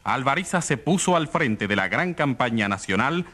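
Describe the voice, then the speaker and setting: A man's voice: medium pitch, big pitch swings, normal speed, bright, forceful tone. A man acting a studio announcer, reading scripted profiles in a formal, declamatory way for a radio drama.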